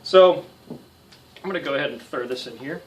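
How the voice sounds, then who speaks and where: A man's voice: medium pitch, brisk, recorded from a distance. An American man in his thirties giving a casual guitar tutorial with self-deprecating, joking asides. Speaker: guitar instructor. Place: small home music room.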